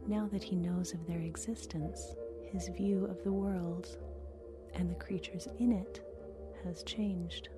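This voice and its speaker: A woman with a soft, whispery voice reading a scripted ASMR introduction as announcer. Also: on the low side. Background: music.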